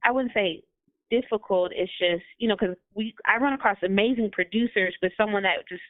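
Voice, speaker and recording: A woman's voice: mid-range voice, fairly quick, no room sound. A woman musician talks about her music in a loose, chatty way and apologizes for long answers. Her voice comes through a thin, phone-like line with no room sound. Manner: chatty, apologetic, laughs often. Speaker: guest musician. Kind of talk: casual interview answers. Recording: tinny phone or call line.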